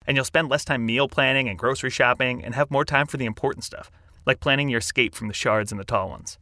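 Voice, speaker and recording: A man's voice: medium pitch, quick pace, sharp tone, no room sound, studio quality. A man reading a scripted podcast sponsor advertisement.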